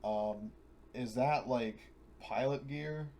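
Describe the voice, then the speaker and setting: A man's voice: medium pitch, slow, a little mellow. A man chatting casually as a player in a tabletop roleplaying game, talking in a loose, rambling way. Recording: noisy room ambience on recording.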